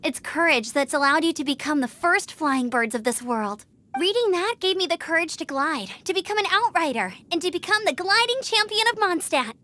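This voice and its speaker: Game heroine: eager young knight instructor, very high, bright, animated, earnest and encouraging. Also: female voice, moderate pace, heavily acted. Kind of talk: video game voice acting.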